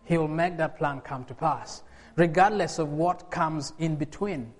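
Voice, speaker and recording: A man in his forties, from Uganda, preaching to a congregation. His fairly high voice climbs into emphatic, laughing bursts. It rings with the long reverb of a church hall. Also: a little slow. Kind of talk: sermon.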